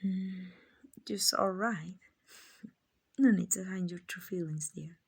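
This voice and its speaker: A woman playing a kind, doting vampire owner in an ASMR roleplay, soft and often whispering, gently coaxing and reassuring. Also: on the low side, slow pace, vocal fry, slightly rough, full character voice. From Mexico. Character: kind, doting, genteel female vampire owner, soothing and possessive.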